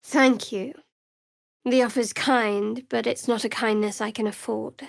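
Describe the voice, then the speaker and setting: An actress playing a guarded, sardonic young female cleric of a dark goddess in a video game, speaking with dry, wry, clipped sarcasm. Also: on the high side, fairly even intonation, unhurried, creaky, dry recording. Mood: guarded, matter-of-fact. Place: dry studio recording.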